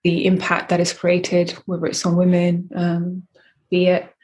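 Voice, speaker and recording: A woman from Eritrea, a coffee company founder answering interview questions in a measured way, with many fillers and restarts. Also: on the low side, monotone, a little mellow, slightly rough.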